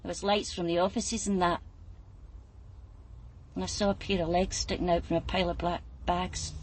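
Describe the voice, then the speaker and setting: A woman's voice: fairly low pitch, level tone, moderate pace, slight background noise, natural voice, rough recording. An actress playing a weary, shaken Glasgow streetwalker and mother in a radio drama, chatty and blunt, with a Glaswegian accent. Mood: chatty, uneasy. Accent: Glaswegian Scottish. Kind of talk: naturalistic radio drama acting.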